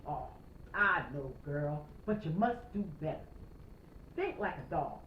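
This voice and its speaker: Woman acting out a stern old shepherd, emphatic and gruff. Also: sits low, very animated, pitched below the performer's own voice. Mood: firm, urging. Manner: commanding, emphatic, measured instruction. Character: stern old Scottish sheepdog trainer, gruff but caring. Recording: roomy, slightly noisy, dull home recording.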